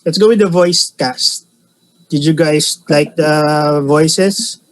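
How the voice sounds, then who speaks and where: A man chatting casually, relaxed and joking. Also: fairly high pitch, slow, poor audio. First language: Filipino. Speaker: co-host reacting to a show. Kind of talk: casual chat.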